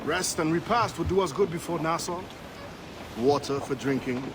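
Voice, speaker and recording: Man as dignified quartermaster, higher-pitched. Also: background noise, close to an everyday voice. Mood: reserved, firm. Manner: formal, measured wording, reserved. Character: dignified, measured male pirate quartermaster. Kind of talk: video game cutscene acting.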